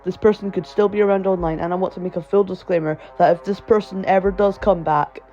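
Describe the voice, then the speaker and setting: A man's voice: high-pitched, fairly even intonation, fast talker, darkish tone. A man reading a scripted horror story as the narrator of an online video. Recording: dull, lo-fi voice track. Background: background music.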